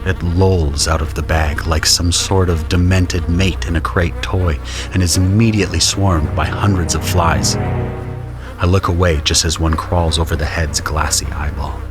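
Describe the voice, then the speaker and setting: Deep-voiced, solemn soldier narrator. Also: male voice, steady tone, unhurried, over music. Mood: grim, watchful. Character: grim, observant male conscript soldier narrating trench horrors. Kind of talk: first-person scripted audio drama narration.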